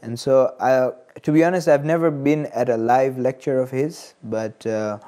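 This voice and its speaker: A man in his early twenties from Tanzania, speaking as an interview guest in a measured, hesitant and earnest way. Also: mid-range voice, steady tone, mellow. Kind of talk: studio interview, personal reflection. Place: studio. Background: plucked guitar music.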